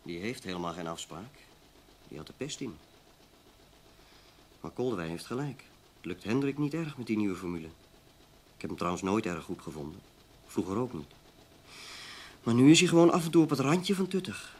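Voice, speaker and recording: A man's voice: fairly low pitch, big pitch swings, normal speed, pauses often, a little hiss or hum. A man playing a cocky, critical newspaper journalist in a Dutch radio play, with a teasing, sardonic manner. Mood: scornful muttering.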